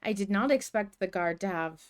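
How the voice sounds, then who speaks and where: A woman's voice: mid-range voice, expressive, normal speed. A woman hosting a podcast in a casual, conversational style. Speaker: podcast host.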